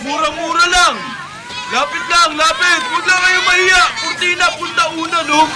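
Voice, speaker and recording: An actor playing an eager young male street vendor, hawking loudly in a radio drama. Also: very high voice, level tone, normal speed, very noisy. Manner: loud hawking calls. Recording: busy street crowd and music behind.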